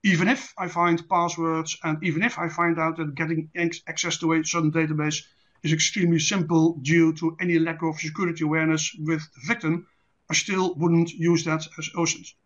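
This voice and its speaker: A man with a Dutch accent, a native Dutch speaker, presenting an online lecture in a measured, deliberate, didactic way. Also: on the high side, level tone, fairly bright tone. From Netherlands. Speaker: presenter, intelligence specialist. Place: online presentation with screen share. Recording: dull lo-fi online call audio.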